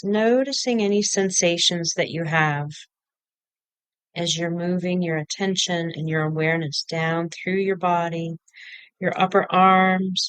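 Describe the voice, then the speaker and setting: Female meditation guide: very smooth, clean voice, unhurried delivery in short instructional phrases, close dry sound. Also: lowish voice, dry recording. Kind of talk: guided body-scan meditation.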